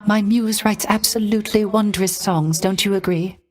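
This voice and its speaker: A gentle, sorrow-tinged male musician from a video game , acted by a man. The voice is light and rather high for a man, delivered softly and with genteel care. Every phrase is formal, theatrical and ornately polite, carrying a wistful devotion. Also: fairly even intonation, normal speed, close to an everyday voice. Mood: tender devotion. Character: gentle, melancholy court musician, devoted and courteous.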